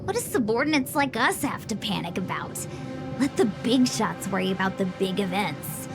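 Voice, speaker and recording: A woman's voice: mid-range voice, expressive, moderate pace, close to an everyday voice. A woman playing a laid-back, chatty young diviner's aide in a video game, talking in a casual, breezy way. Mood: breezy, carefree. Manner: casual, breezy, drawling slang. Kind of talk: video game cutscene acting. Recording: loud music behind the voice. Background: music.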